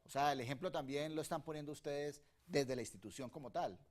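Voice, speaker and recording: A man's voice: on the high side, brisk. A man from Colombia hosting a televised teleconference, formal and courteous as he moves between guests and callers. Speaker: host.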